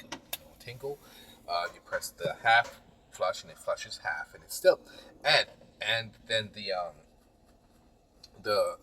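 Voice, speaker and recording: Joking plumber rambling in a voice-over. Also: male voice, fairly low pitch, bright, forceful tone, recorded from a distance, a little hiss or hum, poor audio. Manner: rambling, teasing, talks to the viewer. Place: small bathroom.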